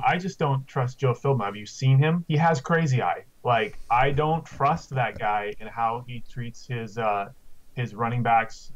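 A man in his thirties giving casual, conversational fantasy football analysis over a video call from a room at home. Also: medium pitch. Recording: slightly dull video-call audio.

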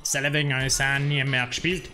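A man's voice: mid-range voice, flat delivery, brisk, bright, forceful tone, soft background music. A man with a South Tyrolean German accent, rambling and complaining in casual chat while streaming a game. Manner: rambling, complaining, many fillers. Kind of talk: casual gameplay livestream chat.

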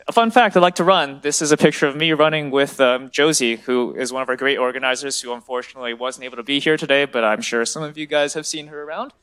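Enthusiastic young man lecturing, higher-pitched voice. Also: a bit bright. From China and the US. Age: 20s. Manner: enthusiastic, checks in with "right?". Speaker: graduate student speaker. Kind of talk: informal public science lecture. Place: large lecture hall.

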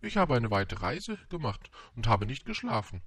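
A man's voice: mid-range voice, very animated, moderate pace, fairly bright tone, no room sound, clean studio sound, pitched above the performer's own voice. A man voicing a shy, polite, puzzled boy prince in a storybook, timid and questioning. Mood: confused, timid.

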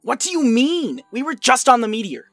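A woman's voice: on the high side, sing-song, normal speed, no echo, faint music behind, heavily acted. A woman voicing an angry, foul-mouthed young male troll in a cartoon dub, ranting and exasperated. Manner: ranting, irritable, shouty. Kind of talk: animated web comic dub acting.

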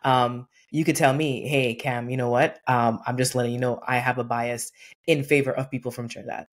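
Animated woman on a video call. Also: rumbling low, fairly quick. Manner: earnest, animated storytelling with acted-out quotes. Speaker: guest, workplace equity consultant. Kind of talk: conversational interview over video call. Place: home room with painting behind.

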